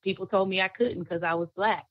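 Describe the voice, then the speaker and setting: A woman guest singer, chatting in an interview in a relaxed, storytelling way, laughing often. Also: medium pitch, brisk, no echo, dull audio. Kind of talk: casual interview chat.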